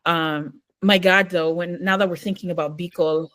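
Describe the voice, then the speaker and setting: A woman's voice: on the low side, fairly even intonation, normal speed, dry recording. A middle-aged woman from Metro Manila, a novelist and mother, chatting easily in an interview and telling family stories with many fillers. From Philippines, Metro Manila. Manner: warm, storytelling, many fillers. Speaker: podcast guest, novelist. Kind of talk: casual interview conversation.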